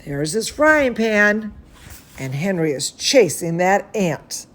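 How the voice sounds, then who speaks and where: Slow, lilting woman reading a bedtime story. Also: medium pitch, sing-song, fairly bright tone. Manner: affectionate, child-directed, exclaiming and repeating. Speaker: children's bedtime story host and cooking teacher. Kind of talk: read-aloud storytelling with chatty asides to viewers.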